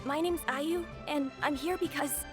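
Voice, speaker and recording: A young boy from an anime game, high and boyish. The character speaks earnestly and politely, with a worried, pleading manner. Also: measured pace, music in the background.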